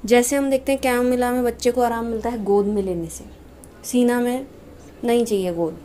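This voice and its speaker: A woman's voice: fairly high pitch, fairly even intonation, a little fast, mellow. A woman with an Indian accent teaching a lesson in a conversational way, reading notes aloud and explaining them. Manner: conversational, repeatedly checking with "okay". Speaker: homeopathy teacher. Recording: noisy home-made screen-recording voiceover. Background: clear background noise.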